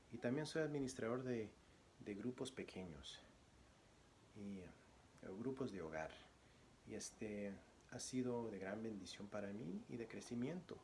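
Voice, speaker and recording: A man from Guatemala, a church deacon, introducing himself as a guest preacher and reading scripture, speaking softly with many pauses. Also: lowish voice, a little hiss or hum. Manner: soft-spoken, frequent pauses and fillers; louder, declamatory when reading scripture.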